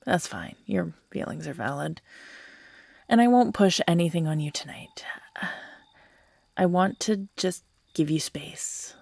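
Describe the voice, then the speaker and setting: A woman playing an obsessive, unhinged yandere who is tender and menacing by turns, speaking in an intimate, halting, breathy ASMR roleplay style that often drops into whispers. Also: on the low side, lively intonation, darkish tone, no room sound, studio quality, exaggerated voice. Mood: manipulative, lovestruck. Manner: slow, halting, cooing, broken by giggles and sighs.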